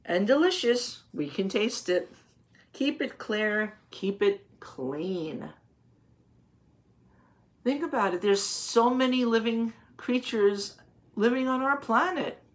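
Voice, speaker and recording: A woman reading a picture book aloud to young children, explaining it as a teacher would and asking simple questions, with deliberate, drawn-out phrasing. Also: fairly high pitch.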